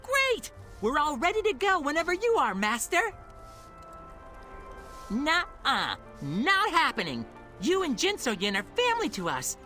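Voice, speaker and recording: A cheeky, loyal girl disciple in a game. The voice is high and bright, leaping about in pitch with perky defiance. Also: huge pitch swings, unhurried, long pauses, faint music behind, heavily acted. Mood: eager, defiant. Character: spirited, loyal, cheeky young female disciple. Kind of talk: game cutscene acting.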